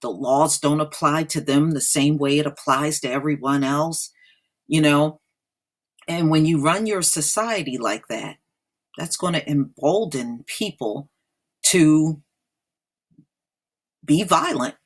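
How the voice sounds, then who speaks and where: Slow, blunt American woman commentator. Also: low voice. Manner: emphatic, repeating phrases for effect; blunt, disapproving. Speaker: online commentator. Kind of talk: informal opinion commentary.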